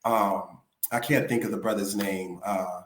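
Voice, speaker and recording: A man's voice: low-pitched, steady tone, unhurried, reverberant, slight background noise. A male singer being interviewed, talking casually and rambling with lots of "you know what I'm saying". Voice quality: raspy.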